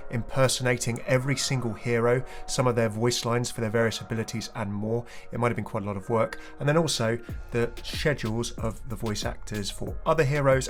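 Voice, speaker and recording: A man doing enthusiastic, wordy video commentary on game lore as a channel host. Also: mid-range voice. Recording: music behind the voice.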